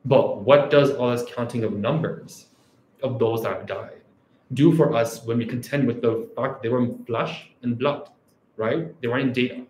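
A man from Canada speaks in a reflective scholarly tone, often checking in with "right?". His voice sits at a middle pitch. The room is echoey, with faint ambience behind him. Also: normal speed, darkish tone, slight background noise. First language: Urdu. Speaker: academic researcher. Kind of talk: scholarly talk.

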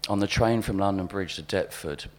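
A man with a wry, deadpan manner giving a live reading of observational anecdotes at a spoken-word event. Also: sits low, normal speed. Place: live literary event venue. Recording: live event recording with background hum.

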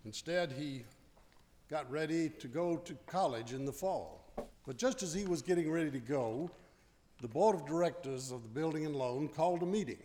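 An actor playing a storytelling narrator in a live radio play, recorded in an echoey hall with music behind. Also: male voice, on the high side, expressive, moderate pace, warm tone, slight background noise, lightly acted. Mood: solemn.

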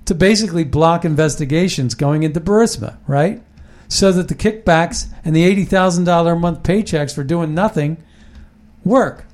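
Rambling, emphatic man, slightly high voice. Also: moderate pace, a little mellow. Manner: rambling, emphatic, with frequent restarts. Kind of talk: casual political talk.

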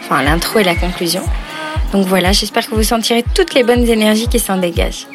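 A woman's voice: medium pitch, fairly quick, over music. A woman hosting a podcast, introducing and interviewing in an informal way.

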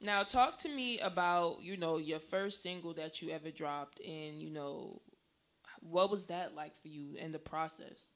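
A man's voice: on the high side, measured pace, a bit bright. A man hosting a casual interview show, upbeat and loose with his questions. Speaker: interview host.

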